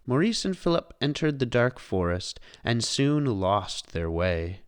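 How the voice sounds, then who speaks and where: Slow, highly animated male storybook narrator. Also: clear, resonant tone. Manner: expressive storytelling. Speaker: read-aloud narrator. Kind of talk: scripted children's storybook narration.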